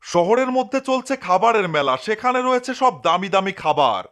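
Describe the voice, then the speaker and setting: A man's voice: high-pitched, very animated, normal speed, a bit bright. A man playing an eccentric, scolding cat owner who declaims in a cartoon dub. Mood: dreamy, pleased.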